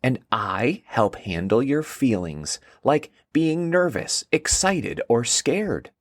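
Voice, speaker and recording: A man reads as a shy little female keeper of feelings in a kids' storybook. She is gentle and reassuring, speaking slowly with an expressive lilt. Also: mid-range voice, no room sound, studio quality.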